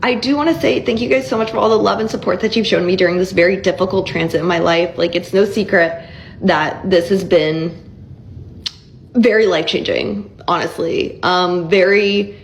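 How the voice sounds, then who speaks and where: A young woman talks to camera in a personal vlog, earnest and close to tears. Her voice is froggy and hoarse, as if it is giving out. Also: lowish voice, moderate pace, slight background noise, rough recording. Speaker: vlogger. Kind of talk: confessional vlog.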